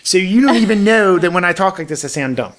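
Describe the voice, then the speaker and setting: A man from Baltimore with a Baltimore accent, co-hosting a podcast in quick, rambling casual chat full of restarts. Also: high-pitched, animated. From Baltimore area. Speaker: podcast co-host.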